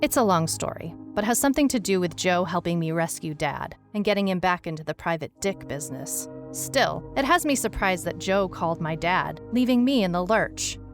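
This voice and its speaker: Female detective narrator: slightly low voice, skeptical, musing, sardonic, audio mystery serial with underscore. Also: animated, normal speed, few pauses, soft background music. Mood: puzzled, uneasy. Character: wry, skeptical private detective woman on vacation. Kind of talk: first-person scripted detective story narration.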